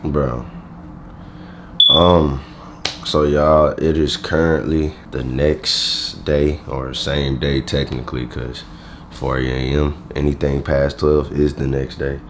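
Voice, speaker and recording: Young male vlogger, just woke up, low groggy voice, loose slangy rambling, faint room hiss. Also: little pitch movement, takes it slowly. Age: young adult. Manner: slangy, rambling, lots of fillers. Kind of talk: casual vlog talking to camera. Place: bedroom at home, indoors.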